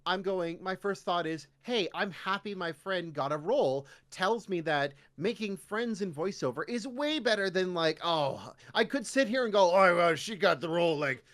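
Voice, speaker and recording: Animated Canadian man chatting, very lively pitch. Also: moderate pace, hardly any pauses. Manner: animated, slipping into mock voices when quoting. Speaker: podcast guest, voice actor. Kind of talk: casual interview chat.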